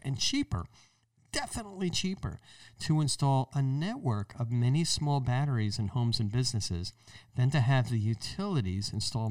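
A man's voice: mid-range voice, no echo, far from the mic. American man explaining emphatically, slightly off-mic. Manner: emphatic, repeats phrases for stress, colloquial. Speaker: podcast host and solar business owner. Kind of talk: explanatory commentary to listeners.

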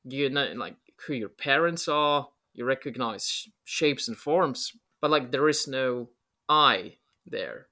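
A man's voice: mid-range voice, takes it slowly, bright, forceful tone. A man, talking casually and reflectively as an interview guest, with lots of filler words. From Poland. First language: Polish. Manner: rambling, reflective, many filler likes.

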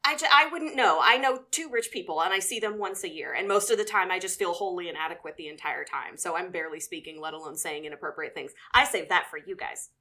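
A woman co-hosting a podcast in animated, conversational style, with a self-deprecating way of talking. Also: fairly low pitch, fast, few pauses. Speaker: podcast co-host. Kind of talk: casual panel chat and news reading.

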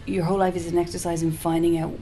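A soft-spoken woman being interviewed as a singer-songwriter, talking in a casual, hesitant way with frequent restarts. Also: fairly low pitch, level tone, racing. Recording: noticeable background hiss.

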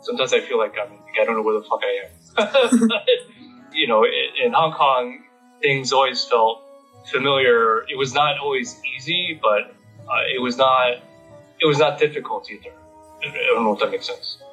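An American man giving a reflective interview, halting as he searches for words. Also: mid-range voice, lively intonation, pushed and bright. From United States. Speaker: interviewee, independent bookstore owner. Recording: slightly muffled, music behind.

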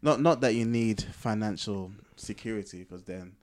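A man's voice: lowish voice, moderate pace, a little mellow. A man co-hosting a casual podcast, explaining his thoughts reflectively and correcting himself as he goes. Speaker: podcast co-host. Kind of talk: casual conversational podcast chat.

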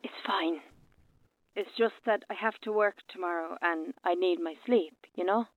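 A woman's voice: lowish voice, normal speed, dry recording. An actress playing a sleepy, polite but firm woman, heard through a telephone filter in a radio drama. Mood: politely reluctant. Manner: polite, restrained, brushing off. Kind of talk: naturalistic radio drama acting. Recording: narrow, dry phone-call sound.

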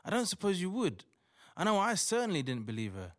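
Man acting as a skeptical doctor, animated pitch swings. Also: a little fast, full character voice. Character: male doctor, skeptical atheist man of science, bewildered but courteous. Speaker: actor in audio play. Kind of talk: modern audio drama dialogue.

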